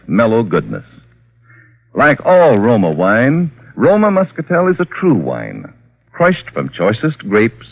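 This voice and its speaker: A man announcing a 1940s radio commercial with a polished, emphatic sales delivery. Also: medium pitch. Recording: tinny, band-limited vintage radio recording.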